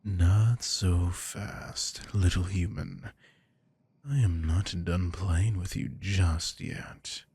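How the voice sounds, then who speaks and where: Vampire lord, gravelly, unhurried, menacing and seductive, ASMR roleplay. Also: male voice, steady tone, mellow, no echo, full character voice. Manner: slow, low, menacing seductive murmur. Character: arrogant aristocratic male vampire lord.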